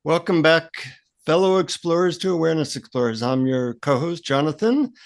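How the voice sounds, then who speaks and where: A man with a fairly high voice and a gravelly creak hosts a casual conversation. He speaks as a podcast co-host, in an informal, conversational way. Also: moderate pace.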